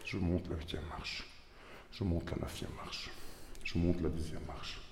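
A man's voice: low voice, level tone, moderate pace, slight background noise. A man doing a spoken-word performance, narrating his steps in a repetitive, incantatory way. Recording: echoey stone stairwell, music behind.

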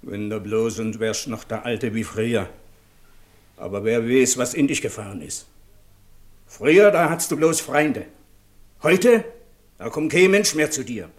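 An actor playing an outspoken, stubborn brother-in-law, talking colloquially and reproachfully in a German radio drama. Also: male voice, mid-range voice, animated, measured pace, long pauses, lightly acted. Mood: indignant, accusing.

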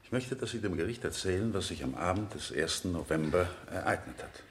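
An actor playing a composed, courteous male defence lawyer who questions a witness formally and with measured politeness in a radio play. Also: deep voice, lively intonation. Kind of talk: 1970 German radio play acting.

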